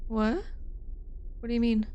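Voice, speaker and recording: Young woman streamer, excitable, swears, casual gameplay banter. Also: on the high side, measured pace, smooth, highs cut off.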